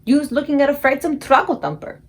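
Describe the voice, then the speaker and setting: A woman voicing an excitable, kindly old male giant who mangles grammar and invents words. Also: high voice, brisk, pitched above the performer's own voice, close to an everyday voice. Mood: agitated.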